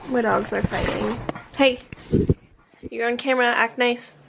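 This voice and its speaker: A woman's voice: a little high, fairly even intonation, a little slow, creaky, background noise. A woman in her early twenties, talking casually and rambling through a home vlog, full of fillers. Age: 20s. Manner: chatty, rambling with many fillers. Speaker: vlogger.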